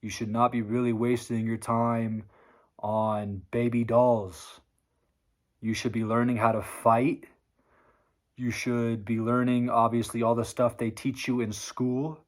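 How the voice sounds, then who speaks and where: A man's voice: mid-range voice, flat delivery, unhurried. Man with a sore voice, ranting profanely. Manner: blunt, profane, preachy lecturing. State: sore strained voice. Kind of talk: motivational rant vlog.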